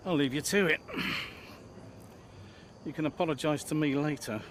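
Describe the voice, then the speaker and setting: An actor playing a middle-aged, shrewd and blunt Formula One team principal, teasing and talkative, in a cinematic video game. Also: male voice, fairly high pitch, moderate pace, slight background noise, close to an everyday voice. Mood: stern, scolding. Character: shrewd, blunt, jovial veteran racing team boss.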